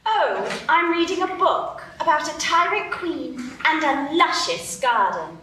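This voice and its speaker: Woman acting weary older sister, slow n instructive, bookish, radio play, really echoey and far from mic. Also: high voice, big pitch swings, sharp tone, heavily acted. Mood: patient, dismissive. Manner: measured, instructive. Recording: very echoey, distant stage pickup, faint noise.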